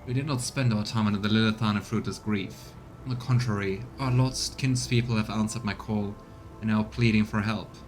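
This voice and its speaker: A man acts out a grieving, scornful male elf seer from a science fantasy game. The low voice is haughty and solemn, with archaic phrasing over faint music. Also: fairly even intonation, a little fast, close to an everyday voice. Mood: grave. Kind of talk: voiced reading of game dialogue. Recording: music behind the voice.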